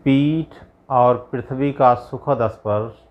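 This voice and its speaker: A man guiding a yoga nidra meditation as a yogi, giving measured instructions in short, spaced phrases. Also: mid-range voice, steady tone, lo-fi sound. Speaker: yogi leading guided meditation.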